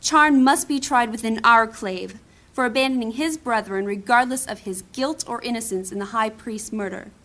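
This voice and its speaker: A woman playing a proud, embittered thief in a fantasy audio drama, speaking formally and gravely as if giving testimony. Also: on the high side, fairly bright tone, lightly acted. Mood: resolute. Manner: formal, grave, deliberate storytelling.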